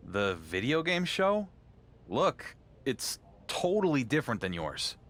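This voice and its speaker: Game casting: champion wrestler with an ordinary mid-range voice, sarcastic, put-upon and defensive. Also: male voice, mid-range voice, huge pitch swings, slow, a bit bright, exaggerated voice. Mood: evasive. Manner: dry, sardonic retorts, defensive under accusation.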